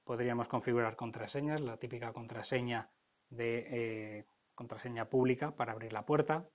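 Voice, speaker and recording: A man's voice: mid-range voice, steady tone, moderate pace, muffled recording. A man with an accent from Spain narrating an informal technical tutorial, explaining step by step and often checking in. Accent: Spain Spanish. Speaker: technical instructor.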